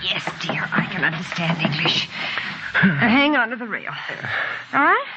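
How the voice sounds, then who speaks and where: An actress playing a worried, patient wife in an old-time radio drama, solicitous and expressive. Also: female voice, mid-range voice, unhurried. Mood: patient, soothing. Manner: expressive, solicitous, swinging from alarm to soothing. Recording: noisy, muffled old radio broadcast.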